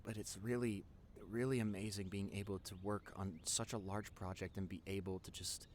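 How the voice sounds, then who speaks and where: A man's voice: fairly low pitch, flat delivery, moderate pace, a little mellow, close to an everyday voice. Man acting as nerdy young boyfriend over background noise. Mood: earnest, wistful. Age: young adult. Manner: nervous stammering, earnest, rambling. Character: nerdy introverted young boyfriend, wacky, tender, passionate artist. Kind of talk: intimate boyfriend audio roleplay.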